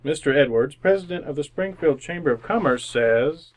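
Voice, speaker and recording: A man narrating and pitching a sponsor as an old-time radio announcer, in a polished, folksy scripted style. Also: expressive, normal speed, slight background noise, natural voice.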